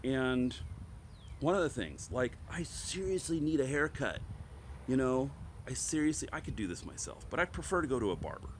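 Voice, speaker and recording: A man with a congested voice from a head cold, hosting an online show with a casual opinion monologue, halting and emphatic as he thinks aloud. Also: medium pitch, animated, slight background noise. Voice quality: slightly stuffed-up, congested. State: has a head cold, clearing throat.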